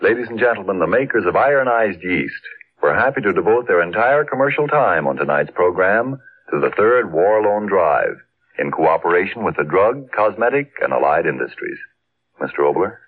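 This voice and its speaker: A tinny old radio recording of a male announcer with a very low, husky voice. He reads formally and with measured phrasing in 1940s broadcast style. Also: animated.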